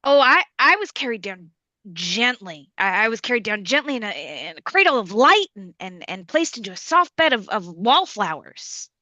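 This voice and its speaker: Awestruck dreamy woman acted by a woman, very high bright voice, hesitant, comedy sketch, slightly dull recording. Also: big pitch swings, moderate pace, no room sound. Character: dreamy, awestruck woman touched by cosmic love. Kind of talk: comedic sketch table read.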